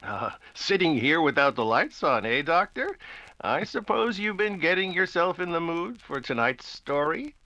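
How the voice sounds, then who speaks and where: Hearty vintage radio pitchman played by a man: polished, emphatic, lively melody, dry close studio sound. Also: normal speed, no echo, muffled recording, exaggerated voice. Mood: hearty, selling. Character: hearty, courteous 1940s radio sponsor announcer. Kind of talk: 1940s radio advertising patter.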